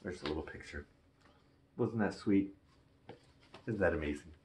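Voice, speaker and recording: A man talking casually and gently as he introduces and comments on a storybook he is reading aloud. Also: low-pitched, normal speed, a little hiss or hum. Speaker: storybook reader.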